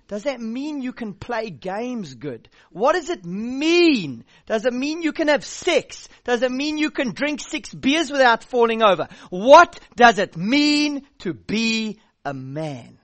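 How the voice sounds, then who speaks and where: A man's voice: sits high, no room sound. A South African youth pastor in his thirties preaching a sermon, direct and blunt, with a dry joking edge.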